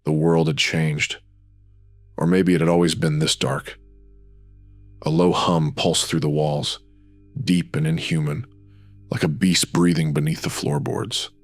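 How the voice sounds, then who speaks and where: Guy narrating dark noir audio drama, super deep voice, moody short phrases, music going behind him. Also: fairly even intonation, measured pace, long pauses.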